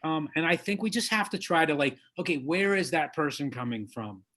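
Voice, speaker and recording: A man being interviewed as an author, lawyer and diversity trainer, speaking in an earnest, measured and emphatic way. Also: a little high, animated, a bit bright. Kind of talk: interview guest, conversational.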